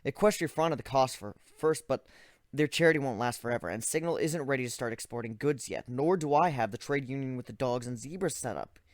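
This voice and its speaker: A man performs a young griffin inventor in a dramatic storybook reading. He is methodical and analytical, with a weary, exasperated streak. The voice sits at a middle pitch in a very dry space. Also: a little fast, dry recording, close to an everyday voice. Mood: weary, downcast. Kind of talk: dramatic reading of first-person fan fiction.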